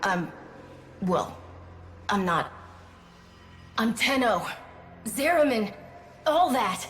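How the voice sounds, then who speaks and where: A woman's voice: a little high, very animated, very slow, exaggerated voice. A woman playing a young, brash but earnest warrior in a cinematic video game. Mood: hesitant. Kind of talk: cinematic game drama acting. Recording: echoing reverb under loud music.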